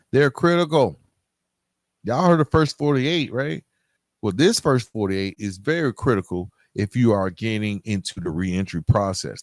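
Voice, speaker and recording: A man's voice: mid-range voice, no room sound, clean studio sound. An American man hosting a solo podcast in a conversational, emphatic way, using street slang and often checking in with the listener. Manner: colloquial street-inflected slang. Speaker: podcast host.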